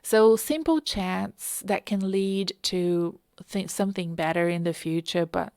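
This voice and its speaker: A woman's voice: lowish voice, unhurried, vocal fry, a little mellow, no echo, studio quality. A woman hosting a podcast as a career coach, talking casually and conversationally in a self-aware, anecdotal way. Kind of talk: casual solo podcast talk.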